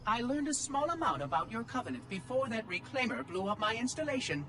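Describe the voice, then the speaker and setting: High, prissy ancient machine oracle. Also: male voice, animated, brisk, sharp tone, slight background noise, heavily acted. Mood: puzzled, prim. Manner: prissy, precise, officious. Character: fussy, prim, ancient machine oracle, guardian of an installation. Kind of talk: game cutscene acting. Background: music.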